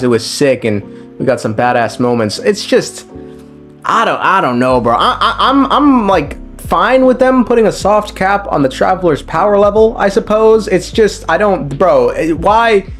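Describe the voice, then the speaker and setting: A young man giving a casual, slangy story recap, rambling and swearing with wry humour. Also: high voice. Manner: slangy, profane, rambling, wryly joking. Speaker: video creator recapping a game story. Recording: music behind the voice. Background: background music.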